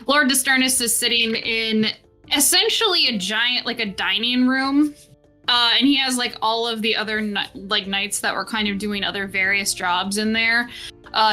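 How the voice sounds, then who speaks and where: A woman with a bright, fairly high voice runs a tabletop game. She narrates casually, swears freely and tosses in quick asides. Soft music plays behind her. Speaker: game master.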